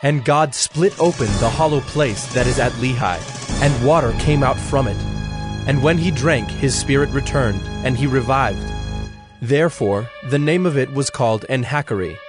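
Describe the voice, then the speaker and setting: A man narrating dramatized scripture in a measured storytelling manner. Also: medium pitch. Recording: dry studio with music underneath. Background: music.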